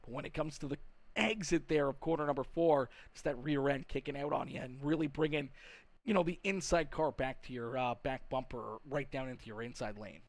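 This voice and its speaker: A man's voice: medium pitch, a little fast, no echo. Chummy male race broadcast host. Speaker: sim racing broadcast host and commentator. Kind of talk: live motorsport commentary and post-race interview.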